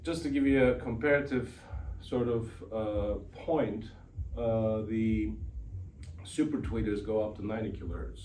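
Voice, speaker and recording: Halting man presenting in a roomy space. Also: mid-range voice, darkish tone. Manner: halting delivery with frequent throat clearing. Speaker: exhibitor presenting an audio system. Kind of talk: informal product presentation. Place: exhibition demo room, indoors. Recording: roomy, distant mic, faint background noise.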